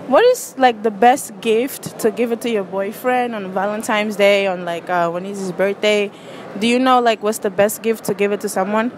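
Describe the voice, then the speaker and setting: A woman's voice: mid-range voice, fairly even intonation, moderate pace. A young woman doing a street prank interview, chatting with strangers and filling her questions with "like" and "um". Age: young adult. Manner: chatty, lots of "like" and "um" fillers. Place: outdoors and in a shopping mall, by storefronts and a parking lot. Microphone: handheld phone held out to people. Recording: noisy street and mall background.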